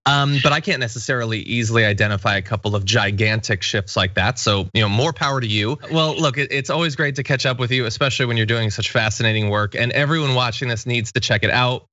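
A man's voice: mid-range voice, pushed and bright, dry recording, lo-fi sound. An American man in his thirties hosting a show in a casual, conversational style from a studio. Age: 30s. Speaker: show host.

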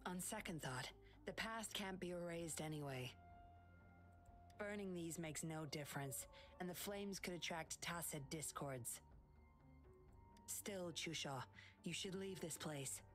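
A woman's voice: lowish voice, measured pace, long pauses, fairly bright tone, faint music behind. An actress playing a composed, commanding but caring woman in an English video game, giving clipped orders. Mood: composed, firm. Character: cool, commanding red-haired woman, firm but caring.

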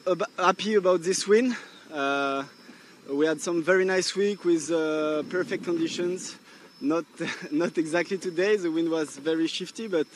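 A young man with a French accent, interviewed on a boat at sea after a race, speaking in a halting way with many fillers and restarts. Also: fairly high pitch, slight background noise. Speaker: windsurfer being interviewed. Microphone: handheld microphone.

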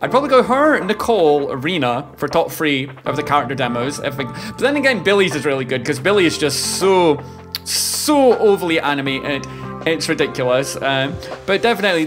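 Man in his thirties, streamer, higher-pitched voice, very lively intonation, repetitive exclamatory reaction commentary. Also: a little fast. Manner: repeats phrases, exclamatory. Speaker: reaction video host, streamer. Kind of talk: casual excited reaction commentary. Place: indoor home streaming room. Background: music from the trailers being watched.

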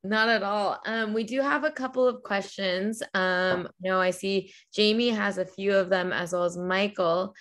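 A woman's voice: mid-range voice, steady tone, a little slow, hardly any pauses, a bit bright. A woman hosting a webinar over a video call, thanking the speaker and calling on people for questions. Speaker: event host. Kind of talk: moderating a webinar.

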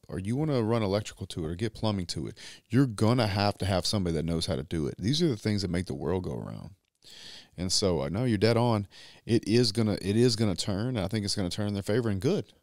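A man, a business owner talking as a podcast guest, measured and drawn out, louder when he presses a point. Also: fairly low pitch, no echo, studio quality. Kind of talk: casual conversation. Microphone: boom mic on stand.

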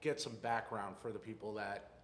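A man's voice: fairly low pitch, fairly even intonation, normal speed. A man hosting a casual sports interview with informal, hesitant phrasing. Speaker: podcast host, interviewer. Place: indoor lounge podcast set. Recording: echoey, reverberant room.

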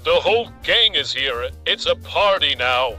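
A woman's voice: sits low, expressive, measured pace, sharp tone, soft background music, voice pushed down, full character voice. A woman voicing a bold, swaggering male vegetable character for a storybook. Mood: breezy.

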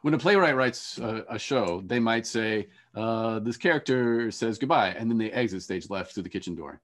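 A middle-aged man moderating an online panel, speaking haltingly and correcting himself, on a headset over a video call. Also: fairly low pitch, a bit bright. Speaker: panel moderator, theatre professor. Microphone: earphone headset on a video call.

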